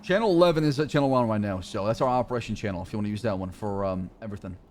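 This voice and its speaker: A man's voice: fairly low pitch, racing, a little mellow, a little hiss or hum. A man whose first language is Arabic, streaming a police roleplay, speaking in careful, plain phrases and thinking aloud. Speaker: streamer playing a police leader. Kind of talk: roleplay chat and talking to stream chat.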